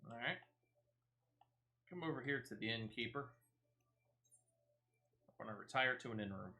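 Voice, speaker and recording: A man's voice: medium pitch, expressive, moderate pace, bright, forceful tone. A man giving casual, playful gameplay commentary as he streams. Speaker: game streamer. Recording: music playing behind the voice. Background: game music.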